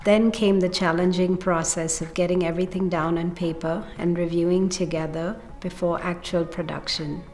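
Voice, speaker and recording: A woman giving a measured, explanatory account of a project as its spokesperson. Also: lowish voice, level tone, a little mellow. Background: faint music.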